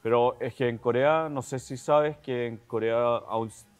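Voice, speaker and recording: A man's voice: mid-range voice, steady tone, a little slow, clean tone. A man in his thirties from Chile with a Chilean accent, rambling relaxedly with many fillers as a guest on a studio talk show. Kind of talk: casual chat. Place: TV studio set.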